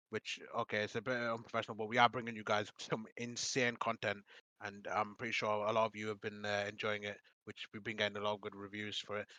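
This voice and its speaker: Young guy hosting a podcast, bright voice, hoarse-ish from talking so much, dry mic. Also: mid-range voice, dry recording, poor audio. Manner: rambling, laughing, repeats promotions. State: losing his voice. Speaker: podcast host. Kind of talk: casual podcast chat.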